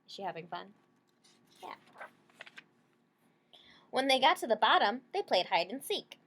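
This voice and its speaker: A woman's voice: a little high, very animated, unhurried, a bit bright. A woman reading a children's story aloud, stopping to explain things simply and check in with short questions. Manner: checks in with listeners using short tag questions.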